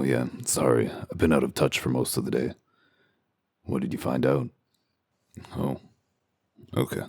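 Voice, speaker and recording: A man voicing a gruff, sardonic werewolf detective in a comic dub, with a growling, commanding delivery. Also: darkish tone, no room sound, full character voice. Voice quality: very deep, gravelly growl. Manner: dry, sarcastic, commanding.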